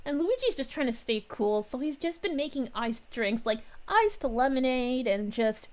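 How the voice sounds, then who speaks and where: A woman's voice: high voice, lively intonation, moderate pace. A woman streaming as a cheerful princess character, greeting her chat in a bubbly, giggly, sing-song voice.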